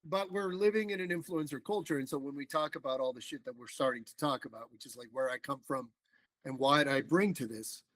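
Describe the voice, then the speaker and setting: A middle-aged man from the Dominican Republic, talking casually as a podcast guest in an animated, rambling way with lots of fillers and restarts. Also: mid-range voice, brisk, no room sound. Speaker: political organizer guest.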